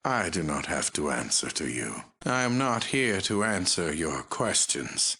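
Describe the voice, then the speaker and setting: Slow, deep-voiced mutant overlord. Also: male voice, sing-song, sharp tone, breathy edge, exaggerated voice. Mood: cold, menacing. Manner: slow, commanding, imperious. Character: deep megalomaniac male mutant overlord, cold and menacing. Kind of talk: video game villain voice acting.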